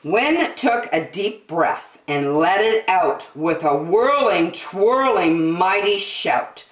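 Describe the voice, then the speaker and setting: Slow, animated woman reading a storybook. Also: lowish voice. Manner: expressive, deliberate storytelling. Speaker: children's story reader. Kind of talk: storybook read-aloud. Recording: roomy, echoing sound.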